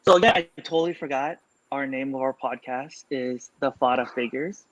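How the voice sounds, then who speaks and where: A man's voice: mid-range voice, normal speed, slight background noise. A man in his thirties hosting a casual podcast, chatting loosely with fillers. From California. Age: 30s. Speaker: podcast host. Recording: dull lo-fi audio.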